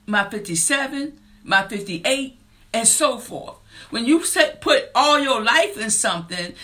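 A woman in her fifties talks to her listeners in a faith-based motivational style. Her voice is bright and clear, and her delivery is earnest and preacher-like, climbing toward excited emphasis. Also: on the high side. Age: 50s. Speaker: podcast and radio show host.

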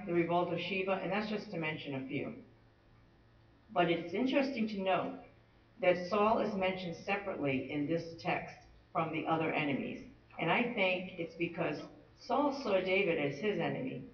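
A man's voice: high-pitched, slight background noise. A man teaching a Bible study lesson in an earnest, conversational way with touches of light humor. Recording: roomy, distant, dull-sounding.

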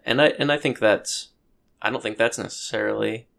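Podcast co-host, male, low gravelly voice, hesitant and thoughtful, casual chat. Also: fairly quick, fairly bright tone, a little hiss or hum. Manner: thoughtful, hesitant, many ums and restarts.

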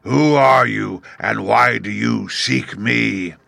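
Guy doing a huge roaring beast, slow gruff booming, kinda hollow. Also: bright, forceful tone, no room sound, clean studio sound, exaggerated voice. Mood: menacing. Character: huge roaring five-eyed male beast.

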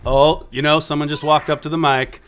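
A man's voice: on the high side, flat delivery, a little fast, fairly bright tone. A man hosting a podcast at a holiday party, bantering and laughing often. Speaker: podcast host. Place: busy holiday house party. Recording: noisy party crowd behind. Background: chattering crowd and kids.

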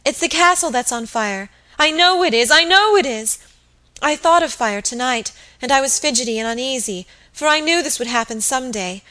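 Woman doing a terrified young maid, high bright voice, sobby breathless pleading, storybook melodrama. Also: lively intonation, normal speed. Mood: distraught, alarmed. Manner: sobbing, gasping, pleading outcries. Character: hysterical, terrified young servant woman pleading with her mistress.

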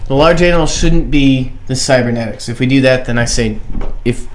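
A man's voice: mid-range voice, a little fast. Man bantering casually over background noise. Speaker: podcast co-host. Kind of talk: casual chat, bantering debate.

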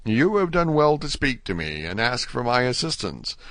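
A man's voice: medium pitch, very animated, moderate pace, a bit bright, dry recording. Man voicing a guarded, weary older prisoner. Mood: measured, reassured.

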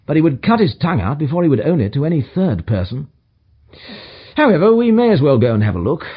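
Guy doing a brisk smug detective for an audiobook, pitched up, swoopy, condescending, bit muffled. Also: sing-song, breathy edge. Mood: brisk, superior. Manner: emphatic, clipped pronouncements with dry condescension. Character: brisk, self-assured detective, languid yet energetic and superior. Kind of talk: audiobook character dialogue.

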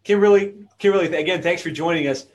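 A man's voice: sits high, fast talker. A man hosting a live webinar who reads out viewers' comments and wraps up the session in a friendly way. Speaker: webinar host.

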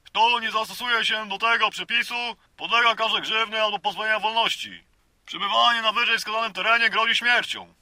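A stern official making a public announcement, acted out by a man, in a raised, very sharp and forceful voice. The delivery is declamatory and officious, each order proclaimed like a formal decree in a satirical comedy podcast. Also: high-pitched, fairly even intonation, a little fast, dry recording, pitched above the performer's own voice. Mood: stern, commanding.

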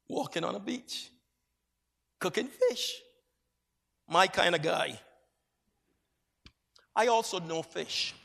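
High bright-voiced preacher from Barbados. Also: male voice, gravelly. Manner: measured, reflective storytelling. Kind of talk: sermon. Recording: long hall reverb.

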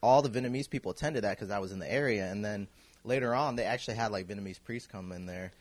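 A man in his thirties from Kansas City, a guest telling his story in a relaxed, rambling way, full of fillers and restarts. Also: lowish voice, fast, no room sound. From Kansas City, USA. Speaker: guest, clothing designer. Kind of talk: casual podcast interview.

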